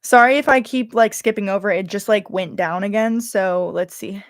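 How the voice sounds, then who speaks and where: A woman's voice: medium pitch, normal speed, no echo, studio quality. A young adult woman with a Californian, slightly Valley Girl accent, chatting casually on a livestream in a rambling, self-interrupting way peppered with filler words. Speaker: livestream host and YouTuber.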